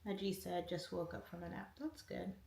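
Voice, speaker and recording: Low gravelly woman streaming in echoey room. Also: level tone, normal speed, darkish tone. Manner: reads out viewer comments and answers each one. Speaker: livestream host. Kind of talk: casual livestream chat. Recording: echoey room, faint noise.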